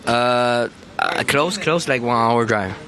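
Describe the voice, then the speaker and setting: A man from China with a Chinese accent, chatting easily on a street livestream in halting second-language English with frequent restarts. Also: mid-range voice, crowd noise. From Taizhou, Zhejiang, China. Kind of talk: casual street livestream chat. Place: outdoors on a busy city street. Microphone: phone.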